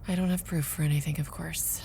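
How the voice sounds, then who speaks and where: A woman's voice: deep voice, fairly even intonation, fairly quick, gravelly, mellow, a little hiss or hum. A woman playing a sardonic vampire researcher in an audio drama, narrating in a wry, profane, conversational way. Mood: wry, suspicious. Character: Aurora, sardonic young female vampire researcher narrating her exploits. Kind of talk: first-person audio drama narration and dialogue.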